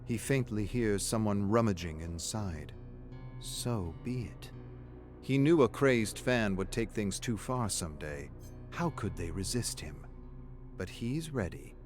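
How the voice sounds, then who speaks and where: A suave, sinister storyteller for a video game, with a fairly low voice. The phrasing is measured and theatrical, savoring a vain killer's dark thoughts. Soft music plays underneath. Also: male voice, lively intonation. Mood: tense, menacing. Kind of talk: scripted dramatic story narration. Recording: music behind the narration.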